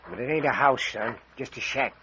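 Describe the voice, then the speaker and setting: A man's voice: mid-range voice, fairly quick, bright, forceful tone, lightly acted. An actor playing a folksy, rustic old small-town mayor and father in a vintage radio mystery. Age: older. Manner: rustic, homespun, drawling phrases. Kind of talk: old-time radio mystery serial acting. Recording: muffled, noisy old radio recording.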